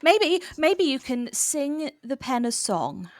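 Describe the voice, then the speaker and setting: A woman playing a reassuring, capable temp worker with a measured manner in a comic audio drama. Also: high voice, sing-song, no room sound, clean studio sound, heavily acted.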